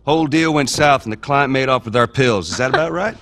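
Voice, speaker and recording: An actor playing a gruff, weary middle-aged smuggler in a video game, terse and sardonic. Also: male voice, mid-range voice, a little fast, fairly bright tone, dry recording, natural voice. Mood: sardonic, stern. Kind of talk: naturalistic video game dialogue.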